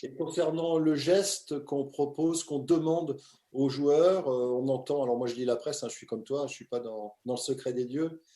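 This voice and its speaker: Animated male presenter in echoey room. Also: mid-range voice, normal speed. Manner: lively, emphatic presenter with loud greetings. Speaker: host. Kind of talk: casual video-call interview. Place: his home.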